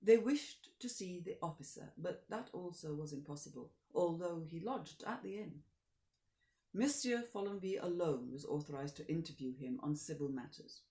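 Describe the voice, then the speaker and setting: A woman actor reading a classic short story aloud in measured narration, often sinking to a soft near-whisper. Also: low voice, roomy sound. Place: home during lockdown.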